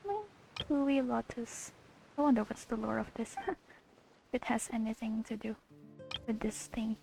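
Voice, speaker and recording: Excitable high-pitched young woman with creaky voice. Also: measured pace, rough recording. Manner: excitable, slangy, giggly. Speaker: gamer recording her playthrough. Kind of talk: casual reaction commentary over gameplay. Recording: game music under the commentary.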